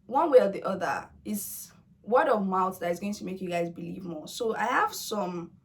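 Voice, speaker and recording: A woman's voice: mid-range voice, animated, moderate pace, creaky. Chatty Yoruba-speaking woman vlogger. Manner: chatty and coaxing, with playful pidgin-flavoured asides. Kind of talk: casual talk to camera.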